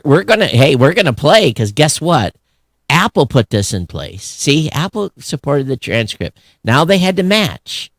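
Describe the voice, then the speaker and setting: A man co-hosting a podcast in casual, opinionated chat, animated and sarcastic, often halting and breaking off mid-phrase. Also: on the high side, dry recording, clean studio sound. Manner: animated, sarcastic griping with mock quoted voices. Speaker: podcast co-host.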